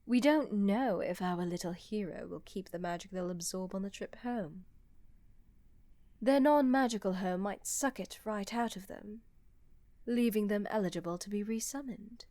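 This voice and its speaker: A woman playing a sly, teasing, confident empress in a fantasy audio roleplay, with a smooth-talking manner. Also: mid-range voice, moderate pace, mellow, no echo, lightly acted. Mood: sly, accusing.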